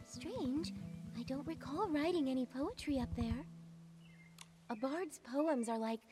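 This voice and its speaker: High-voiced, gentle wandering bard. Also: female voice. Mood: musing, friendly. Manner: lyrical, unhurried storytelling. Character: gentle wandering female bard, poetic and serene. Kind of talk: scripted video game voice acting.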